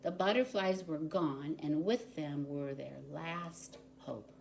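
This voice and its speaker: A woman reading a picture book aloud to young children in an expressive, deliberate storytelling manner. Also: low voice, creaky, slight background noise. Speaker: volunteer story reader.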